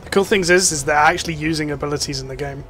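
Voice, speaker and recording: Guy chatting over a game, kinda high voice, faint music behind. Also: very fast, sharp tone. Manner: casual, rambling with fillers. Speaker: gaming youtuber. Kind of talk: casual gameplay commentary.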